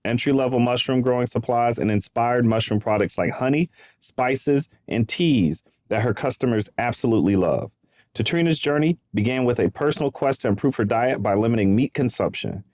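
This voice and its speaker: A man's voice: medium pitch, darkish tone, no echo. A man hosting a podcast interview, upbeat and chatty, with loose casual phrasing. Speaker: podcast host.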